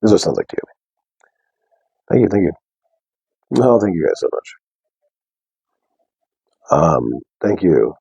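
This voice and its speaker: A young man with a deep bass voice, talking softly and casually to viewers on a live stream. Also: sing-song, unhurried, creaky, pauses often, husky, no echo, dull audio. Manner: soft, unprojected speech. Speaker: livestream host. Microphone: wearing a mic.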